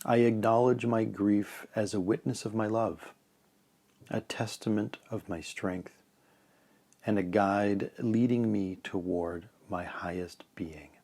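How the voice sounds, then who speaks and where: A man leading a guided meditation in a calm, measured way, letting phrase ends trail off softly. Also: lowish voice.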